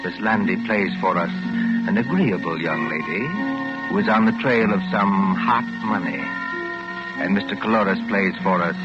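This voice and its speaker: A middle-aged man narrating a 1940s radio suspense drama in a measured, ominous, theatrical manner. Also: low-pitched. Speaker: host and narrator of a suspense anthology. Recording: muffled 1940s radio recording, loud music.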